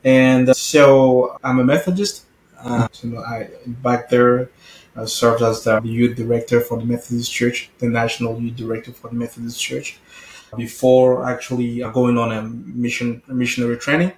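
A man from Cameroon, a pastor and missionary talking about his life as a guest, halting and restarting, leaning on "you know". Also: mid-range voice, flat delivery, a little mellow, roomy sound, poor audio. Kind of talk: casual interview talk.

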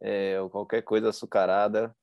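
A man's voice: lowish voice, flat delivery, moderate pace, no echo, muffled recording. A Brazilian man hosting a podcast, chatty and slangy, asking his guest questions with enthusiasm. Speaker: podcast host. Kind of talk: casual interview chat.

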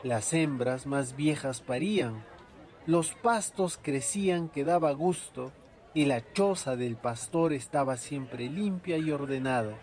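A man's voice: mid-range voice, lively intonation, takes it slowly, a little mellow. A man narrating a folk tale as a storyteller.

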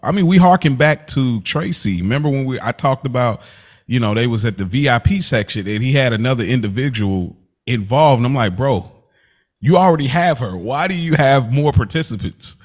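A man's voice: medium pitch, animated, a little fast. A man co-hosting a podcast, chatting casually with a slangy, interjecting manner. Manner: slangy, laid-back, interjecting. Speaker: podcast co-host.

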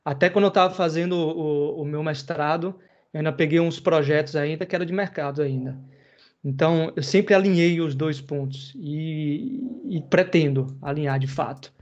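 A man's voice: fairly high pitch, moderate pace. A man from Bahia, chatting casually and loosely as a podcast host, with easy laughs and slang. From Bahia, Brazil. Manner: relaxed, rambling, slangy, laughs easily. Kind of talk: casual chat. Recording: dull lo-fi sound.

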